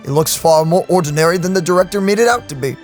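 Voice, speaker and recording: A woman giving casual, expressive let's play commentary, exclaiming and reading game text aloud. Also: on the low side, fast talker. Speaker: gaming video co-host. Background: game music.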